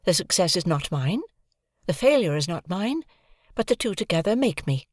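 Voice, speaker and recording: A woman voicing a proud, composed young woman who speaks in a measured, cool way, in an audiobook reading. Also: on the low side, big pitch swings, no room sound, studio quality, voice pushed up. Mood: musing. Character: cold proud young woman, calm and reasoning.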